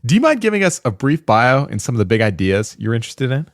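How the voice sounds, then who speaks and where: A man's voice: mid-range voice, big pitch swings, fast, no room sound, clean studio sound. A man hosting a podcast, reading a scripted intro and then asking rambling questions with restarts. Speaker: podcast host.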